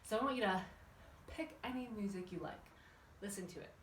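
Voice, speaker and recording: A woman's voice: mid-range voice, a little fast, echoey room. Woman, children's fitness instructor, encouraging, question-filled delivery, distant microphone, some background noise, indoor room. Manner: chatty, encouraging, asks the audience questions. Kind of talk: instructional exercise class for kids, addressing viewers. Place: indoor room with windows open. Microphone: camera at a distance.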